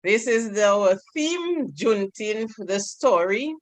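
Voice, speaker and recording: A woman from Dominica with a Dominican accent, leading a club meeting over a video call in a measured, earnest way. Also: mid-range voice, animated, no room sound. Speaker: meeting's toastmaster of the day.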